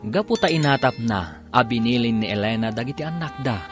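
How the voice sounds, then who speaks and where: Male narrator, Ilocano Filipino accent, lively melodic storytelling for a radio serial, loud music bed. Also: mid-range voice, brisk. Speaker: narrator and host of an Ilocano radio drama series. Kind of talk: dramatic scripted radio narration. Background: loud background music.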